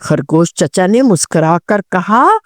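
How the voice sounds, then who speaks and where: A woman's voice: on the low side, lively intonation, slow pace, dry recording, clean studio sound. A woman narrating a scripted children's story, speaking expressively and addressing young listeners directly.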